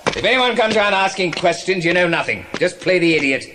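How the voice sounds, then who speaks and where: An actor playing a menacing English gang boss in an audio drama, bossy, commanding and threatening. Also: male voice, high voice, expressive, fairly quick, sharp tone. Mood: brisk, commanding. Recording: clearly noisy recording.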